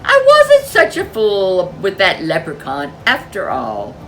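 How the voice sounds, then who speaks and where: A woman's voice: mid-range voice, wildly swooping pitch, slow, fairly bright tone, a little hiss or hum, full character voice, low-quality recording. A woman voicing a boastful, lazy farmer in a storybook folk tale. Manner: proud, bragging.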